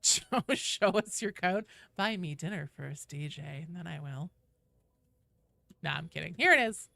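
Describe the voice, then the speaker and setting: Woman, game livestream host, bright forceful voice, very lively intonation, playful, sweary, casual chat. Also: on the low side, a little slow, gravelly, no room sound. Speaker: streamer hosting a game livestream with viewers.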